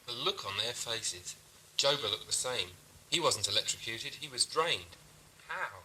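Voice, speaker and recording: A man's voice: mid-range voice, lively intonation, unhurried, sharp tone, slight background noise, rough recording. A man playing an authoritarian, scheming prison ship commander in a science fiction audio drama, stern, clipped and formal. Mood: shaken.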